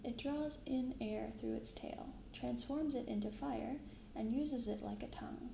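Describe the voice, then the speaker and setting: A young woman speaking softly in an ASMR style, unhurried as she comments and reads aloud. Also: on the high side, vocal fry, echoey room, a little hiss or hum, rough recording. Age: young adult. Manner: unhurried, hushed commentary and reading from cards. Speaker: host of a card-opening channel. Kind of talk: soft-spoken ASMR. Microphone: binaural microphone.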